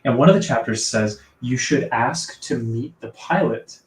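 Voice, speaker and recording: A man with a soft, sleepy-sounding voice, chatting casually as an author guest in a live interview. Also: medium pitch, animated, moderate pace. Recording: echoey room, distant from mic.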